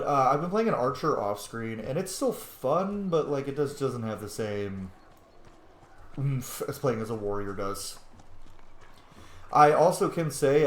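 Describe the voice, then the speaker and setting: A man's voice: mid-range voice, roomy sound. A man giving casual, rambling let's play commentary as he games, with informal language and some swearing. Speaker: gaming video host.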